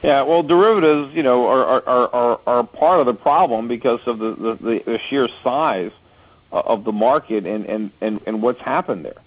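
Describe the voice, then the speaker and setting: A man's voice: medium pitch, moderate pace, slightly rough, poor audio. A man in his forties hosting a call-in radio show, answering callers at length with homely analogies and frequent restarts. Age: 40s.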